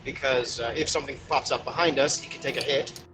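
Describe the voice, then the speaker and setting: A man's voice: medium pitch, steady tone, fast talker, music in the background. A man playing a tabletop game, chatting casually as he explains tactics and advises the others. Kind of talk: casual game strategy chat.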